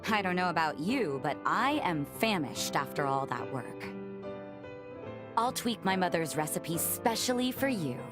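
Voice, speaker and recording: Expressive, low-voiced gentle vampire woman. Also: unhurried, music in the background. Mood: tender, shy. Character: gentle revenant woman, a vampire who loves cooking. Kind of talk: video game cutscene acting.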